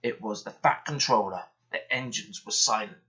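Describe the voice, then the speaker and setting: A man reading a children's bedtime story aloud in an expressive, steady manner. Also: lowish voice, moderate pace, breathy edge.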